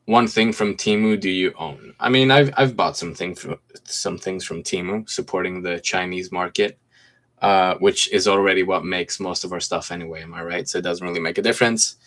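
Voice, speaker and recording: A man's voice: deep voice, fairly even intonation, moderate pace, fairly bright tone. A Greek man in his twenties with a slight American accent, chatting casually on a livestream from his home and reading viewer comments aloud in a laid-back way. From Greece. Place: room at home with mint green walls.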